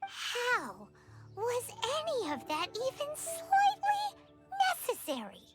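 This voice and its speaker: Squeaky, tiny, excitable fairy girl. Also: takes it slowly, over loud music, full character voice. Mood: annoyed. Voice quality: squeaky, childlike. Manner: exclamatory, indignant. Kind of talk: video game voice acting.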